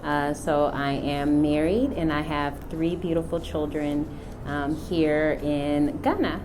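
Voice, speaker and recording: Low-voiced woman, thoughtful testimony. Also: fairly even intonation, noisy background. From United States. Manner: unhurried, thoughtful, many filler pauses. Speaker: company founder and CEO. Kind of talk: reflective personal testimony.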